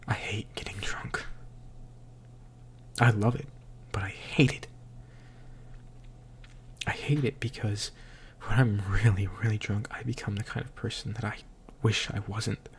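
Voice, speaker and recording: Male, slightly tipsy, for an intimate audio diary: introspective, halting delivery, monotone and whispery. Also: fairly low pitch. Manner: halting, hushed, introspective, often trailing into whisper. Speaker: audio diarist.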